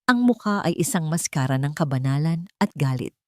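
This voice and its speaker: A woman reading a scripted dramatic story as its narrator, in a measured, solemn storytelling manner. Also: sits low, animated, dark tone, dry recording, studio quality.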